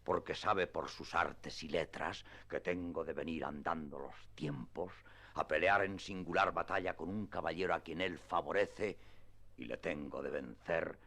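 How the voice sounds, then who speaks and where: A very deep, gravelly old gentleman speaks in a radio play. He is a proud, deluded knight-errant who delivers every phrase with solemn grandeur. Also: a little slow, full character voice. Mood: solemn, brooding. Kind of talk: classic radio drama acting.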